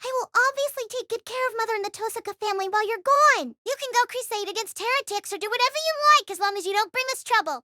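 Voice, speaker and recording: A proud little schoolgirl in an audio drama, very high and quick. She is curt and composed, coldly polite, with a bright, sharp edge as she hides her grief. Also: no echo, studio quality. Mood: cold, defiant. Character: tough, proud young schoolgirl hiding grief and spite. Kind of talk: audio drama character acting.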